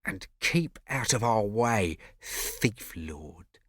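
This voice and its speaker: A man's voice: lowish voice, lively intonation, very slow, a bit bright, no echo, studio quality, heavily acted. A man voicing a prickly, sulky boy who hisses his words and stammers when he is scared.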